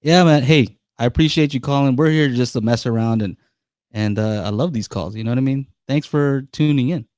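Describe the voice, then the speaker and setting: A man's voice: medium pitch, fairly quick, darkish tone, dry recording, studio quality. A man hosting a live call-in podcast, chatting casually and laughing easily with callers. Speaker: podcast host.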